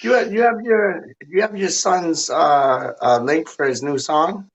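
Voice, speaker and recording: A man with a Canadian accent chatting on a live stream, animated and slangy, often repeating himself. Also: fairly high pitch, moderate pace. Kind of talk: casual live-stream chat.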